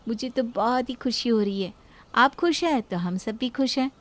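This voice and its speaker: Young daughter-in-law, played by a woman: very high voice, sweet, humble, placating, family drama acting. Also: moderate pace, close to an everyday voice. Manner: placating, deferential. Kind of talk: Hindi family moral-story acting.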